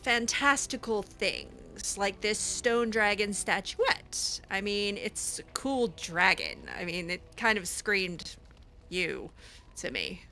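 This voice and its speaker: Bright, animated woman roleplaying a game. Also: mid-range voice, faint music behind. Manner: halting, repeats words. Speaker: gamer roleplaying a character. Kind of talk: improvised in-character game roleplay chat.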